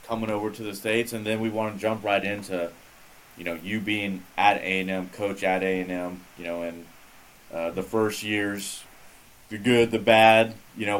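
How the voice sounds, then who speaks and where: A man's voice: lowish voice, slight background noise, low-quality recording. A man hosting a podcast interview, talking in a laid-back, rambling way full of fillers. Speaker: podcast host. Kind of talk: casual interview chat.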